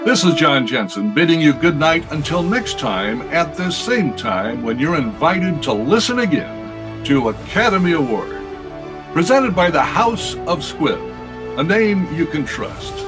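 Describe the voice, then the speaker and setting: Guy doing old-time radio announcer, highish voice, very lively pitch, music behind him. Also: measured pace.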